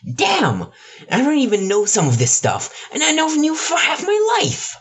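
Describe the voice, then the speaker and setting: A narrator voicing an excitable, flabbergasted husband who exclaims breathlessly in an audiobook-style story. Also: high-pitched, normal speed, voice pushed up, exaggerated voice. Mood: stunned, awed. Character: excitable, flabbergasted husband, stunned and muttering in disbelief.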